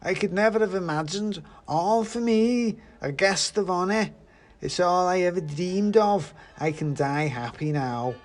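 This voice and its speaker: Shrill, melodramatic Christmas pudding. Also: male voice, measured pace, soft background music. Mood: giddy delight. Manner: exclamatory, melodramatic. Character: huge drunken Christmas pudding, gleeful then hysterically outraged, male. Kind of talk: theatrical storybook character voice.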